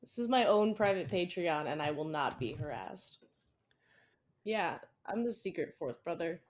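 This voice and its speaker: A man's voice: on the high side, animated, measured pace, slight background noise. Rambling, cursing podcast co-host man. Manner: rambling, swears freely, mock-indignant. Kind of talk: casual comedic podcast banter.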